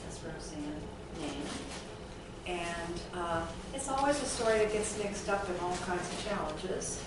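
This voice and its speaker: A woman hosting a small group event, introducing a speaker off the cuff and prompting listeners with short tag questions. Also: on the low side. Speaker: host introducing an author. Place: indoor event room at an arts center. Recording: very echoey, noisy room, distant microphone.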